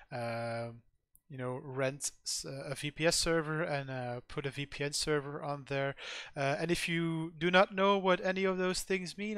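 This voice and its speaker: A man's voice: mid-range voice, a bit bright, no room sound. A man whose first language is Dutch, speaking English with a Dutch accent as he walks through a screen-recorded tech tutorial in a loose, rambling way with many fillers. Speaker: tech channel host.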